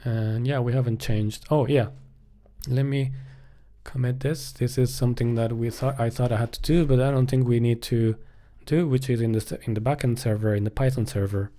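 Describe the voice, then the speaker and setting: A man with a soft, mellow voice at an average pitch talks casually through his coding work. He thinks aloud, hesitating and half speaking to himself like a streamer at his desk. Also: steady tone, normal speed.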